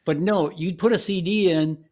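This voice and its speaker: A man's voice: sits high, normal speed, a little mellow. A middle-aged man, a company founder, chatting casually as an interview guest and explaining things in a plain-spoken, informal way.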